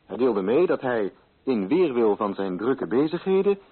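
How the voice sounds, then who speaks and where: A man narrating a literary radio play from a script, with a husky voice. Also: medium pitch, sing-song, normal speed. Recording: thin, phone-like old radio recording.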